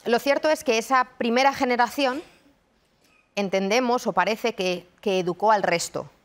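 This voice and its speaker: A woman from Madrid, a university researcher and lecturer in her thirties, giving measured, reflective answers in a TV studio interview. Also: fairly high pitch. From Madrid, Spain. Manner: thoughtful, measured, frequent restarts. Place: television studio.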